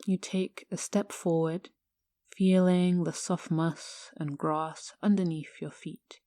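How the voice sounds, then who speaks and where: A woman guiding a meditation in a hushed, soothing, measured manner with long pauses. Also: fairly low pitch, fairly even intonation, dry recording. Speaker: yoga teacher guiding a meditation. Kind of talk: guided meditation narration.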